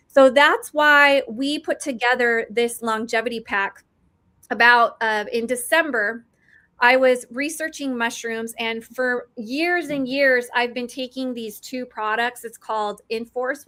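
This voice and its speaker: A woman in her forties giving an eager, chatty product pitch about mushroom supplements, calling herself a nerd. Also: bright, forceful tone. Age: 40s.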